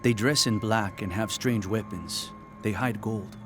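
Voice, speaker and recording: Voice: medium pitch, a little slow, lightly acted. Casting: performer as outcast market trader in an adventure game, resentful yet respectful, music underneath. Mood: resentful, subdued. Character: wary outcast trader in a hidden city, deferential, resentful. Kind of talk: video game cutscene acting.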